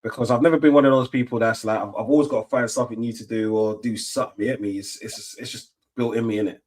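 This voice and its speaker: A man's voice: mid-range voice, steady tone, fast talker. A middle-aged man, chatting casually on a football watch-along stream, rambling with lots of fillers and restarts. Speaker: stream host.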